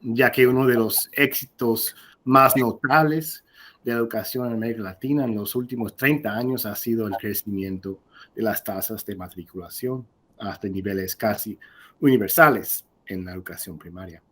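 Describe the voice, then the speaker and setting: Soft-spoken man lecturing over video. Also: on the low side. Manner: halting and earnest, frequent restarts. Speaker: guest lecturer. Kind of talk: formal conference lecture.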